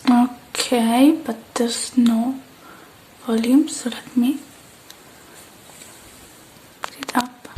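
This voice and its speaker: Soft breathy lady doing asmr roleplay, half whispering, really slow, slightly high voice, bit of background noise. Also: flat delivery, poor audio. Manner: gentle, unhurried, murmuring to the listener. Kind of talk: soft-spoken ASMR roleplay as a technician tending a robot.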